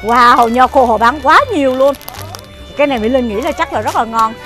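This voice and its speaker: A woman's voice: sits high, a little fast, music in the background. A middle-aged woman hosting a travel vlog, narrating a walking tour to camera in a chatty way with frequent fillers. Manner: chatty, many "ờ" fillers, addresses viewers as "quý vị". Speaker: travel show host. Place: outdoors at a farm market and inside its shop.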